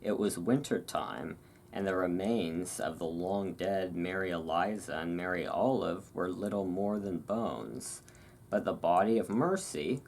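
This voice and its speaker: A host giving a relaxing ASMR reading of a historical article, with relaxed, informal delivery. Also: deep voice, a little hiss or hum.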